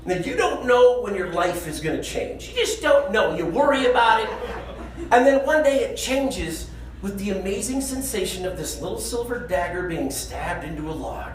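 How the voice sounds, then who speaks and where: A man tells a funny story live on stage, conversational and animated, poking fun at himself. His voice rings in an echoey room, with some background noise around it. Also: sits high, normal speed. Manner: animated, conversational, self-deprecating. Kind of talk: comedic stage storytelling to an audience.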